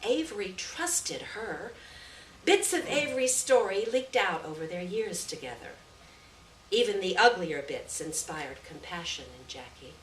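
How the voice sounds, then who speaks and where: A woman reading a literary short story aloud at a live event, with measured, deliberate phrasing and stressed words. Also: fairly low pitch, very animated, fairly bright tone. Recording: distant roomy live recording, faint noise.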